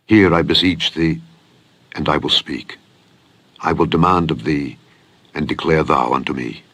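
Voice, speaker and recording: Man acting deep, hushed, humbled penitent. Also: exaggerated voice. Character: humbled, repentant suffering man addressing God.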